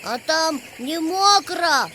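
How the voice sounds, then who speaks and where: A woman's voice: very high voice, animated, takes it slowly, pushed and bright, a little hiss or hum, full character voice. A woman voicing a bossy, stubborn little boy kitten in a children's cartoon, with a childlike manner. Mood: eager.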